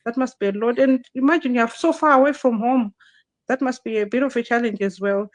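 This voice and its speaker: A woman's voice: on the high side, brisk, no room sound. A woman hosting a live online interview as a business consultant, chatting with frequent restarts and fillers over a video call. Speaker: host, digital business consultant.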